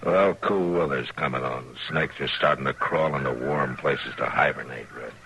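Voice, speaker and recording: An actor playing a stern, steady middle-aged frontier marshal in a 1950s Western radio drama, firm and laconic. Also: male voice, rumbling low, moderate pace, creaky, exaggerated voice. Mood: grave, calm. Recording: noisy vintage radio recording.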